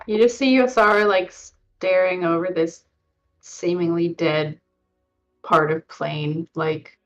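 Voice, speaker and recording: A woman's voice: on the low side, steady tone, slow, muffled recording. A woman improvising in character as a tabletop player, speaking in hesitant, broken phrases. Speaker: player in a tabletop roleplaying game.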